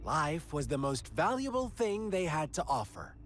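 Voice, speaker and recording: An actor playing a sinister, taunting game villain who tells a twisted fairy tale in a theatrical, drawn-out manner. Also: male voice, fairly high pitch, very animated, fairly bright tone. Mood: taunting, probing. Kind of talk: video game character acting, storytelling monologue. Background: background music.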